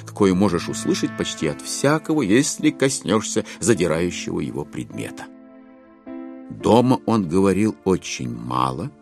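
A man playing a wry author-narrator in an audio drama, phrasing deliberately and expressively with irony. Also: on the low side.

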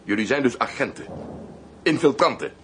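A man's voice: medium pitch, expressive, normal speed, a bit bright, a little hiss or hum, lightly acted. A man playing a mocking, coarse, cold gangster henchman in a radio drama, taunting and threatening.

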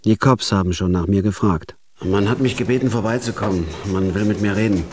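A man's voice: on the low side, fairly quick, darkish tone, dry recording, close to an everyday voice. An actor playing a weary, cynical male killer who narrates his own story in a dry, matter-of-fact radio drama style. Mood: deadpan. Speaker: first-person narrator. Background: plucked guitar music.

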